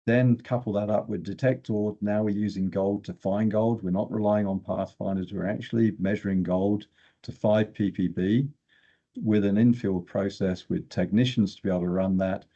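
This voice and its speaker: Very deep, gravelly older man, slightly dull audio. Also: steady tone, normal speed, dark tone. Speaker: guest expert in exploration geochemistry. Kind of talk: technical interview explanation.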